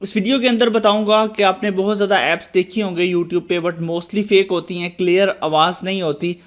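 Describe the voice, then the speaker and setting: Male tutorial host, high register, instructional delivery straight to the viewer. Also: fairly even intonation, normal speed. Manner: instructional, repeatedly addressing viewers. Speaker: host of a tech tutorial channel. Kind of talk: screen-recorded app tutorial narration.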